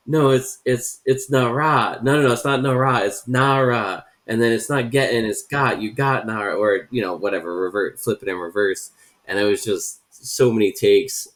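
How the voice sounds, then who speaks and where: A man casually recalling memorable moments from filming a web series, laughing now and then. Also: deep voice. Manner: relaxed storytelling, laughs while recalling. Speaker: cast member recalling filming. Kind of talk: casual podcast chat.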